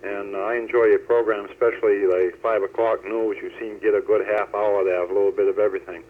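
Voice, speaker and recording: Dull phone line, fast-talking low-voiced man. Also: little pitch movement. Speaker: listener phoning in to radio. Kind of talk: casual phone chat. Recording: dull telephone line on radio.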